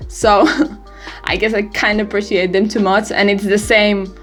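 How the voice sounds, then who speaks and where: A woman's voice: medium pitch, level tone, normal speed, fairly bright tone, soft background music. An eighteen-year-old woman from Europe, English not her first language, chatting casually in a rambling, giggly, self-deprecating vlog. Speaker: vlogger. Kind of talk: casual birthday vlog chat.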